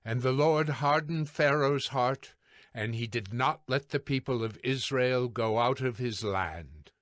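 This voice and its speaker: A man narrating scripture from a script in a formal, measured way. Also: medium pitch, fairly bright tone, dry recording, clean studio sound. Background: faint music.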